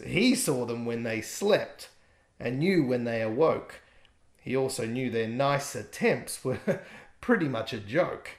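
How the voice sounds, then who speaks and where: A man reading aloud his own rhyming picture book in a gentle, expressive way. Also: mid-range voice. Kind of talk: rhyming storybook narration. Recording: echoey room.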